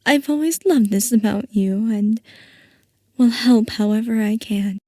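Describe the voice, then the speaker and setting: A woman playing a playful, possessive scientist boss who dotes on her assistant, in a soft, coaxing ASMR roleplay. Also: fairly high pitch, measured pace, dry recording, studio quality. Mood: reassuring, fond. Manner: soft, coaxing, affectionate villainy.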